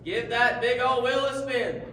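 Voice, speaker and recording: Big reverberant hall. Male performer as high-pitched Southern host puppet, showy and folksy. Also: a little slow, bright, forceful tone, full character voice. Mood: excited. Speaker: lead host of a puppet talk show. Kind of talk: scripted comedy talk show skit on stage. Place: large echoey hall, live performance. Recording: echoey hall from far off, noisy.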